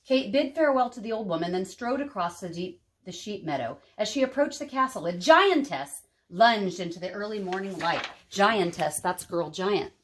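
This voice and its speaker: A woman's voice: mid-range voice, expressive, normal speed, hardly any pauses. A woman reading a children's storybook aloud as a story-time host, dramatic and explanatory. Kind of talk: expressive children's storybook narration.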